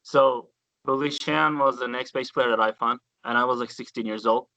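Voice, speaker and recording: A man with a Turkish accent, a bassist guest telling stories in a relaxed interview with loose grammar and casual swearing. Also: normal speed, no room sound, muffled recording. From Turkey.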